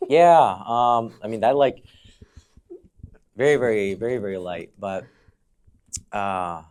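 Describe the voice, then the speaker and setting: Deep-voiced, reflective American man. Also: slow pace, a little mellow, clean tone. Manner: reflective, explanatory, often says "you know". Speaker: podcast guest, fire dancer and entrepreneur. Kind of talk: conversational personal storytelling.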